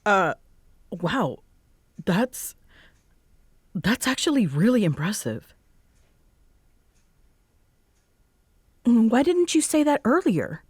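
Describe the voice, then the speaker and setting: A woman's voice: fairly high pitch, big pitch swings, slow pace, long pauses, slightly rough, no room sound, studio quality, exaggerated voice. A woman playing a tired, sarcastic bartender roommate who teases but is secretly fond, in an intimate roleplay audio. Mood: surprised, flustered. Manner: sarcastic, teasing, hesitant when sincere. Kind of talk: roleplay audio acting, intimate one-sided conversation.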